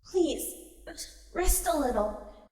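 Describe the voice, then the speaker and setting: A woman as an anxious, very high-pitched young pony. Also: animated, extremely slow, warm tone, exaggerated voice. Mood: worried. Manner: earnest, pleading, emotional. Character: anxious young female pony turned changeling queen. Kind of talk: dramatic audio fiction acting. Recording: echoing hall reverb.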